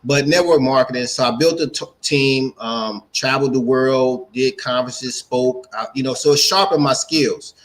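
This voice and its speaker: American guy late 40s, mid pitched voice, casual interview storytelling, repeats himself for emphasis. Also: moderate pace, hardly any pauses. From Gary, Indiana, United States. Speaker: guest, entrepreneur and speaker.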